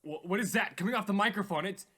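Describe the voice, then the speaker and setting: A man's voice: sits high, pushed and bright. An actor in a radio drama playing an uneasy, hesitant male radio host. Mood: nervous, confused. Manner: hesitant, halting. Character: uneasy radio host presenting a dead man's tapes.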